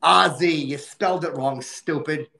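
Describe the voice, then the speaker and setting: A man playing a crude, boastful, short-tempered male comic, cursing and griping as he hosts a livestream in a nasal, gravelly put-on voice. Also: fairly high pitch, measured pace, pushed and bright, exaggerated voice. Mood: crude ranting. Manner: profane, insulting, exasperated rants. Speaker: livestream host. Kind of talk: livestream comedy character rant.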